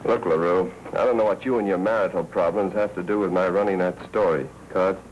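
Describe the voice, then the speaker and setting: Man acting tough american 40s newspaper editor, low voice, terse and wry, muffled old film audio. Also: steady tone, fairly quick, a little mellow, lightly acted. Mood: wry, steady. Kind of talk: 1940s film noir acting. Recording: muffled, hissy 1940s film soundtrack.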